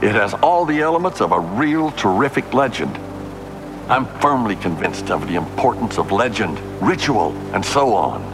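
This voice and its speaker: Deep-voiced authoritative man, lively delivery. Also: moderate pace, over music. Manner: authoritative, declaiming. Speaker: group founder and leader. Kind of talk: archival recorded talk.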